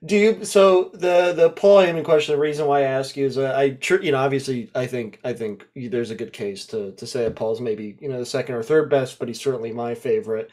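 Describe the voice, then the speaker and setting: A man's voice: mid-range voice, fairly quick, few pauses, a little mellow. Eager male podcast host. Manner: eager, long rambling questions with fillers. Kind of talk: casual interview talk.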